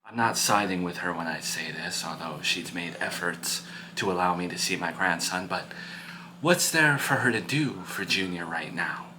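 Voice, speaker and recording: Man acting low, hushed, weary older father. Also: lively intonation, slight background noise, full character voice, rough recording. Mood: measured, probing. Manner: quiet, measured, admonishing.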